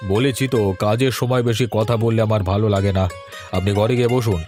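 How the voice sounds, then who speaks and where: A man's voice: on the low side, fairly even intonation, fast talker, over music, close to an everyday voice. Man acting proud older village father-in-law. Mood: curt. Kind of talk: Bengali audio story drama acting.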